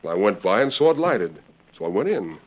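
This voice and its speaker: Earnest accused cowboy, animated voice. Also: male voice, lowish voice, fairly quick. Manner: earnest, plainspoken. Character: earnest, wrongly accused young western man. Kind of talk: 1940s radio western acting. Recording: dull old radio recording.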